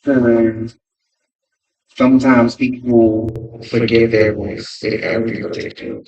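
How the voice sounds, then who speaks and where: A man's voice: medium pitch, level tone, slow pace, creaky, a little mellow, highs cut off, a little hiss or hum. A man talking as a podcast guest in casual chat, emphatic and repeating phrases for effect. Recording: distant from the mic.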